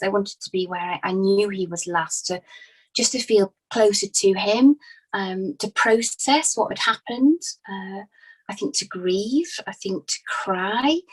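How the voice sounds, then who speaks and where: A woman acts out a devoted female disciple testifying in a dramatized inquest. Her voice is a little husky and mid-pitched. She speaks earnestly and conversationally, hesitating and repeating phrases for emphasis. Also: lightly acted, low-quality recording.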